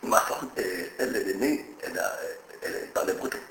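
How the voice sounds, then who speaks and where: An older man from Brittany, recalling his past in a halting, rambling way, repeating words as he searches for them. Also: low-pitched, animated, creaky, a bit bright, echoey room, poor audio. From Brittany, France. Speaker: interviewee. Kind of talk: oral history interview.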